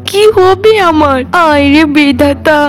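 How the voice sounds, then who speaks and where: A woman voicing a greedy, jealous sister-in-law in a cartoon, shrill, demanding and impatient. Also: takes it slowly, faint music behind. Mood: distraught, wailing. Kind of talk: melodramatic cartoon dialogue.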